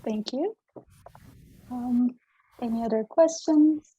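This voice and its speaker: Female moderator, dark raspy tone, muffled dry call audio. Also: a little high, slow. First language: Turkish. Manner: hesitant, lots of fillers, polite. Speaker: session moderator. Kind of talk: moderating online Q&A. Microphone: video call.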